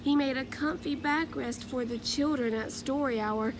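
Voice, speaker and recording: A woman reading a children's storybook aloud in an expressive, measured narrating manner. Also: sits high, background noise. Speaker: storybook reader.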